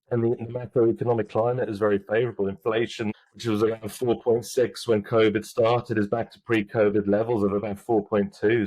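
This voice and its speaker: Low-voiced man, halting interview answers. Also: darkish tone, no echo, rough recording. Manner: halting, many restarts and fillers. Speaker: mining company executive, guest.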